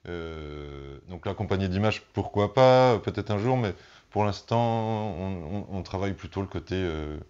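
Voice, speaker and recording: Male musician, very low register, thoughtful and searching interview delivery, slightly muffled recording. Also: animated, unhurried, a little mellow, clean tone. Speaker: band musician being interviewed. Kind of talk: relaxed interview conversation.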